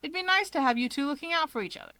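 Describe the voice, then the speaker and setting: A woman's voice: sits high, sing-song, rapid-fire, sharp tone, no echo, voice pushed up, full character voice. Casting: teasing, self-satisfied young male hero woman reader, lighter voice, breezy delivery for an audiobook-style tale. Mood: smug teasing.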